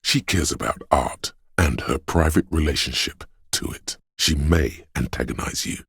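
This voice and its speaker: An actor voicing a perceptive, compassionate, brooding inner voice in a video game, with measured, hushed, deliberate narration and a gravelly, husky tone. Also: male voice, a bass voice, very animated, dry recording, exaggerated voice. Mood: somber, compassionate. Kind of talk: scripted second-person game narration.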